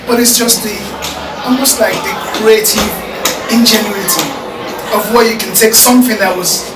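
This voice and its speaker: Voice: mid-range voice, moderate pace. A host leading an informal discussion with an audience, prompting them and repeating phrases for emphasis. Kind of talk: informal interactive talk to an audience. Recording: echoey room, distant mic, background music.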